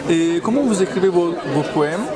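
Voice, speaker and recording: A man's voice: high-pitched, animated, moderate pace. A man interviewing a poet, asking brief, plain questions. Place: busy public poetry festival. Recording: off-mic, with a loud crowd.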